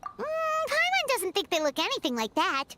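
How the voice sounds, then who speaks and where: A woman voicing a tiny, childlike, chirpy and curious female fairy companion in an anime game, with a squeaky voice. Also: very animated, normal speed, heavy background noise. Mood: curious, puzzled.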